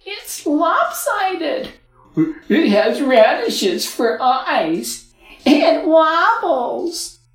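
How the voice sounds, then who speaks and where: A woman's voice: sits high, sing-song, very slow, roomy sound, full character voice, low-quality recording. A woman voicing mean children, taunting and cackling, in a storybook reading. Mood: mocking.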